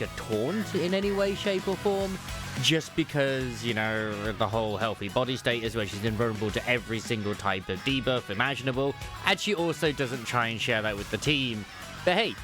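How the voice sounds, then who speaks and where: A man hosting a gaming video with casual, chatty commentary on a character's skills. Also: medium pitch. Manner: chatty, self-correcting asides. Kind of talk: casual game kit commentary, reading skill text aloud.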